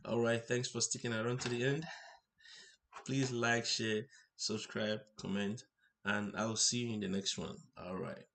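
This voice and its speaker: A man's voice: fairly low pitch, fairly even intonation, unhurried, vocal fry, fairly bright tone. A man hosting an informal hands-on electronics tutorial, explaining things step by step and checking in as he goes. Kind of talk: informal hands-on demonstration narration. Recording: roomy echo, faint background noise.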